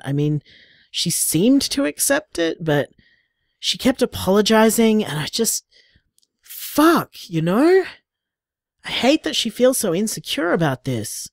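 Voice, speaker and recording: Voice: mid-range voice, no echo, clean studio sound. An actor playing an affectionate, emotional partner leaving candid, conversational voice messages for a lover in an audio drama. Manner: candid, tender, conversational, sighing, mild swearing.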